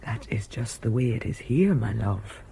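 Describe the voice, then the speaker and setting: An actress playing a tender, reserved, dreamy mother in an intimate audio drama, speaking in a quiet, measured, deliberate way. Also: a bass voice, expressive, vocal fry, a little hiss or hum, exaggerated voice. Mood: tender, hushed.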